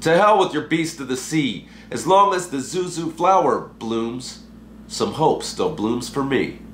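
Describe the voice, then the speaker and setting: A man's voice: mid-range voice, very animated, unhurried, roomy sound, slight background noise, pitched above the performer's own voice. A man voicing a reckless, dogged young thrill seeker in a recited story poem, desperate and indignant. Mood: defiant.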